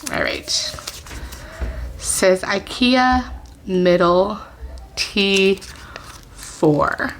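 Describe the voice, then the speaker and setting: A woman chatting casually to camera as a book vlogger at home, with soft laughs and whispered asides. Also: medium pitch, lively intonation, drawn-out words, long pauses, slight background noise. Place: at home, family in another room.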